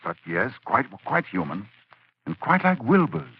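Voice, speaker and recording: An actor playing a grave, urgent scholar of the occult, halting and portentous, in theatrical 1940s radio suspense style. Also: male voice, on the low side, very animated, slightly rough, dry recording, full character voice. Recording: muffled, noisy old radio recording.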